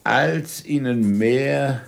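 An actor playing an old, ailing captive scientist, slyly submissive, dictating haltingly with deliberate pauses in a German radio play. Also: male voice, mid-range voice, gravelly. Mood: dry, sly. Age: older.

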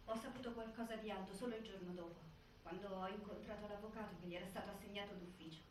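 A woman's voice: mid-range voice, steady tone, fast talker, close to an everyday voice. Woman playing a worried partner telling her story on stage, far off in a noisy echoey room. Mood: concerned. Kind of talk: theatrical first-person monologue. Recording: very echoey, distant, clear background noise.

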